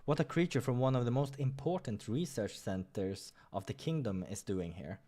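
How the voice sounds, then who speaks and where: A man's voice: mid-range voice, animated, moderate pace, mellow. A man hosting a game playthrough, reading visual novel narration and breaking off for casual asides.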